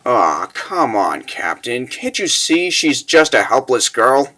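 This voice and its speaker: A man's voice: mid-range voice, very animated, slow, sharp tone, heavily acted. A man voicing a cocky, flippant soldier in a comic dub, with a lazy, leering, flirtatious drawl. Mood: coaxing.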